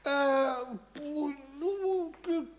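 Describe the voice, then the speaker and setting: A man's voice: very high-pitched, extremely slow, heavily acted. An actor playing a timid, easily flustered school superintendent who stammers and trips over his words in a classic radio play. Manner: stammering, tongue-tied, frightened.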